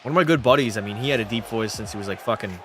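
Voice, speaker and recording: A man's voice: on the low side, very fast, a bit bright. A young man from Canada, casually chatting and swearing while live-streaming a hockey game and answering chat. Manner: loose, profane banter, reading chat aloud. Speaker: gaming streamer.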